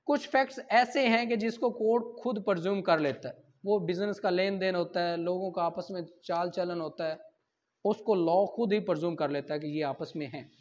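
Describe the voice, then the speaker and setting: A man's voice: high-pitched, moderate pace. A man with a Pakistani accent lecturing on law in an informal way, explaining point by point, asking and answering his own questions and switching into English for legal text. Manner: asks questions and answers them himself. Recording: dull, muffled lo-fi recording.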